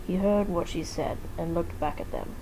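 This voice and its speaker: A woman narrates fan fiction in an informal, amateur way. Her voice is mellow and a little low, and there is faint noise in the recording. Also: normal speed, rough recording.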